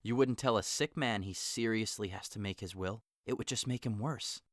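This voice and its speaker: A man's voice: fairly low pitch, moderate pace, no room sound, close to an everyday voice. A brooding, lovesick young man, played by an actor in an audio drama. He sighs and lingers over his sorrow, then rises into passionate outbursts. The recording is dry and close. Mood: melancholy. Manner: sighing, wistful, swings into passionate outbursts.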